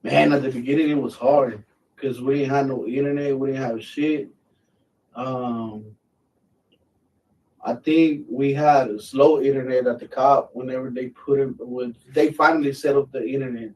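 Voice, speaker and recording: A man's voice: medium pitch, steady tone, moderate pace, a little mellow, recorded from a distance, low-quality recording. A middle-aged man from Mexico, a military veteran, telling stories in a blunt, easygoing way and swearing freely. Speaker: military veteran guest, channel host. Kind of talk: casual live chat.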